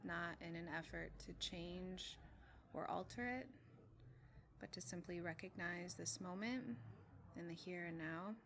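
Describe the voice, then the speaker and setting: A woman meditation teacher leading a guided meditation in a gentle, measured way, with long pauses between short phrases. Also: on the low side. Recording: clearly noisy with room ambience.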